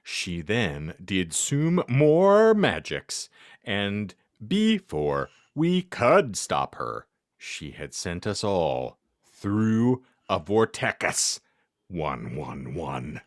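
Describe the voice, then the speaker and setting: A man's voice: sits low, huge pitch swings, extremely slow, no echo, clean studio sound. A man hosting a comedy podcast, reading fan fiction aloud theatrically with jokey, sweary banter. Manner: jokey, sweary, theatrical delivery of misspelled text. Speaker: podcast host.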